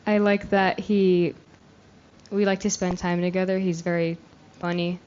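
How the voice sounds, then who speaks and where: A woman's voice: fairly low pitch, little pitch movement, unhurried. A young woman introducing herself at a youth retreat in a casual, shy and modest way. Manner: shy, modest, laughs softly. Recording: dull lo-fi recording with faint noise.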